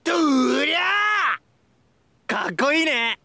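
A man playing a hyperactive, cheerful, childlike young goofball in an anime mobile game, with a bright boyish voice and an exuberant manner. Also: very high voice, big pitch swings, slow pace, gravelly, no echo, studio quality, full character voice. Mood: wildly excited. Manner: exuberant, bursting into shouts and laughs.

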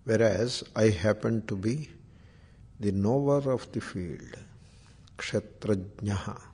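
A man with an Indian accent leading a guided meditation as a spiritual teacher, speaking deliberately in short phrases with Sanskrit terms and chants. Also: fairly low pitch, warm tone, slightly rough. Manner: slow, deliberate, long pauses between short phrases.

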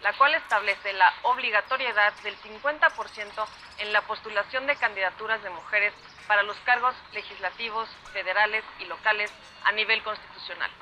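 Very sharp-sounding formal radio commentator. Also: female voice, medium pitch. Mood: informative. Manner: formal, measured. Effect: playing from a radio. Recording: sharp noisy radio playback.

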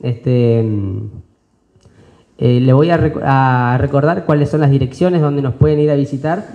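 A man presents at a press conference, pausing often with fillers and restarting phrases. His voice is mellow and dark, picked up by a desk mic in a conference room. The sound is dull and lo-fi. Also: mid-range voice, fairly even intonation. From Argentina. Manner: halting, many fillers and restarts. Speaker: business owner presenting an expo. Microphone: desk mic on stand.

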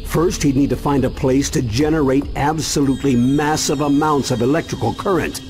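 A serious, protective grandfather figure from a cartoon game, acted by a man, speaks with earnest authority. The older voice sits at an average pitch with music clearly behind it. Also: steady tone, brisk, a little mellow, natural voice. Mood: thoughtful. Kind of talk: cartoon video game acting.

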